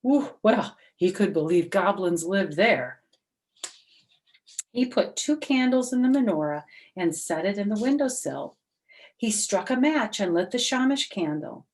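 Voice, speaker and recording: A woman's voice: medium pitch, lively intonation, measured pace, a little mellow. A woman reading a picture book aloud as a storyteller, with chatty asides that explain things simply, as to young children.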